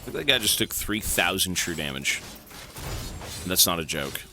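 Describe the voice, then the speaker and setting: A man's voice: deep voice, very animated, moderate pace, noisy background. A man from Canada streaming a game, chatting loosely with his chat, swearing and rambling as he answers questions. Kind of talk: casual stream chat while gaming.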